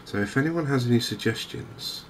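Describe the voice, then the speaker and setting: A soft-spoken man giving hesitant, measured ASMR-style commentary as host of a gaming video. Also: medium pitch, rough recording. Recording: clear steady background noise.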